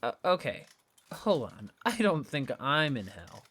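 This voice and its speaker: Actor playing casual young skeptic, very lively pitch. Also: a little slow, slightly rough, heavily acted. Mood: awkward, hesitant. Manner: hesitant, casual, questioning. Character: casual, curious, skeptical jobless young friend. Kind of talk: naturalistic audio fiction dialogue.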